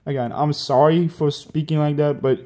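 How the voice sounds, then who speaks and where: Young guy whose first language is hebrew, rambly and defensive, lots of likes and uhs, warm low-key voice. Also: steady tone, normal speed. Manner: defensive, rambling with many fillers and restarts. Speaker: online gamer. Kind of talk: casual apology video.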